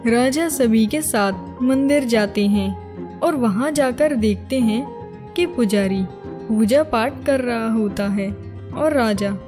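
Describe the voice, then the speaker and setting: A woman's voice: a little high, takes it slowly. A woman reading scripted narration for a moral cartoon story. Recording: music behind the voice.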